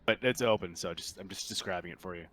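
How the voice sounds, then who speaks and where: Guy with a lowish voice, game mastering all casual, noisy recording with uneven levels. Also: fast talker, bright, forceful tone. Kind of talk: casual tabletop game narration.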